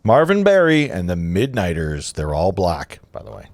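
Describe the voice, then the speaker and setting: A man narrates a script at an informal table read. His voice is on the low side and recorded dry. He reads casually, trips over phrases and adds offhand asides. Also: wildly swooping pitch, normal speed, gravelly, a little mellow, no room sound. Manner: casual, stumbling over the text. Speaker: narrator reading stage directions.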